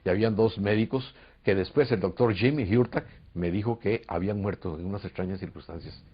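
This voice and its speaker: Husky low-voiced Costa Rican man. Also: a little fast. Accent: Costa Rican Spanish. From Costa Rica. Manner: earnest, rambling storyteller with hesitations. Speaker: interviewee, UFO contactee. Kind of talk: recorded interview. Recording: dull lo-fi recording.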